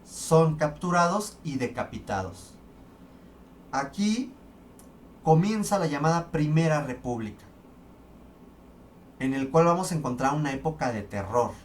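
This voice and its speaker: A man giving a recorded history lesson, explaining step by step with fillers and restarts. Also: mid-range voice. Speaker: history lecturer. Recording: distant mic, faint background noise.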